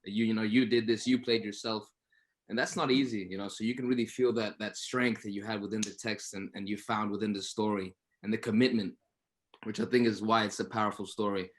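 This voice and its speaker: A man's voice: fairly low pitch, fairly even intonation, fairly quick. A man, an actor, chatting casually in an interview, often repeating words and adding little filler phrases as he goes. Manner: repetitive, peppered with "you know".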